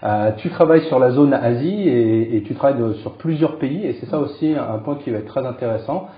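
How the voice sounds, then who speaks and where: A male podcast host interviewing a guest casually, halting often, with many fillers and repeated words. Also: medium pitch, roomy sound, highs cut off.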